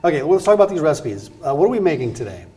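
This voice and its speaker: A man's voice: on the high side, very animated, racing, warm tone. Upbeat male cooking show host. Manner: chatty, upbeat, rambling restarts. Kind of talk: scripted narration then casual interview.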